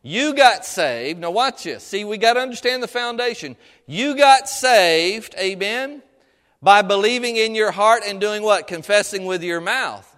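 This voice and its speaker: A middle-aged man preaches in a high-pitched voice that rings through a long hall reverb. His phrasing is folksy and colloquial. He exhorts the congregation, often checking in with questions and amens. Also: expressive, measured pace, fairly bright tone. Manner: exhortatory, punctuates points with amen and questions to congregation. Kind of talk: sermon. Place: preaching to a congregation in a large room.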